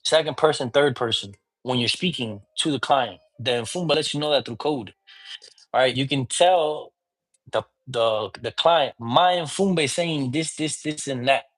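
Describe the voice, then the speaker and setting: A man speaking as a priest, teaching divination in a relaxed conversational way and often checking that the listener follows. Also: medium pitch, measured pace, low-quality recording. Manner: informal, often checks in with "you get me?"; mixes in Spanish words. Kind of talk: casual teaching talk.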